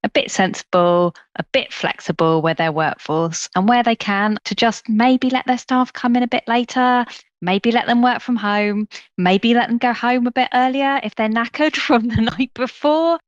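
A woman's voice: fairly high pitch, moderate pace, no echo. Friendly woman on a dull line. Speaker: workers' rights campaigner.

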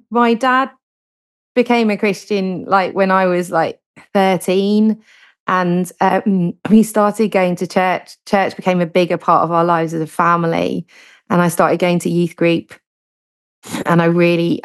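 A woman's voice: lowish voice, flat delivery, unhurried. A woman from southern England with a southern English accent, a guest author answering interview questions in a chatty way with many hesitations.

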